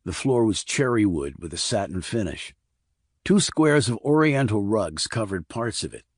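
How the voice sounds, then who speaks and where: A man reading a scripted thriller audiobook in a measured, descriptive storytelling manner. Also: fairly low pitch, very animated, dry recording, clean studio sound. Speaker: audiobook narrator.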